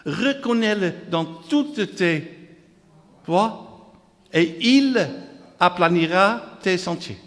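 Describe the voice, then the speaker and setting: A man's voice: high-pitched, lively intonation, very slow, long pauses, a bit bright. A man with a Dutch accent, preaching a sermon, reading scripture and repeating phrases in lists while calling out to the congregation. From Netherlands. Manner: reads scripture aloud in quotes; calls out to the congregation. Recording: long hall reverb.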